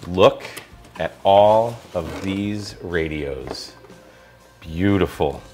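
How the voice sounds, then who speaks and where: A man's voice: sits low, drawn-out words, dark tone, soft background music. A man with an American accent giving a casual hands-on gadget review in an enthusiastic, colloquial way. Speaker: product reviewer.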